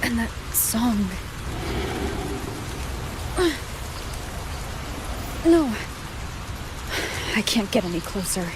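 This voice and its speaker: Unhurried young heroine, calm. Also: female voice, on the high side, background noise, full character voice. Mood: uneasy, focused. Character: young female wandering hero, calm, kind, determined. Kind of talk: video game voice acting.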